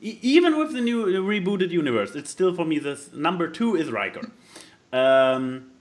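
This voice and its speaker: Halting man, non-native English speaker, higher-pitched voice. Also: expressive. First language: not English. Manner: halting, stammering search for words. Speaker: podcast co-host. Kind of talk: casual chat explaining a board game.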